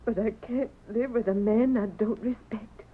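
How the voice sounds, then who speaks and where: An actress playing a sad, devoted young ranch wife with an American accent, halting and tender, in radio melodrama style. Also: female voice, a little high, husky. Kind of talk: 1940s radio melodrama acting. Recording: tinny old radio recording, noisy background.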